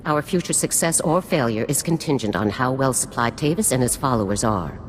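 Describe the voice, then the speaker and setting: A stern female military general from a video game, with a very low voice that moves expressively. She gives orders with formal, clipped authority in a space with long reverb. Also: expressive, fairly quick. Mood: grave, commanding. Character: stern, commanding female special forces general. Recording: long reverb with faint noise.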